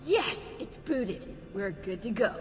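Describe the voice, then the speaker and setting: An actress playing an upbeat tech expert of an assassin team in a video game cutscene, enthusiastic and informal. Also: female voice, mid-range voice, expressive, takes it slowly, over music. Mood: excited. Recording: long hall reverb, faint noise.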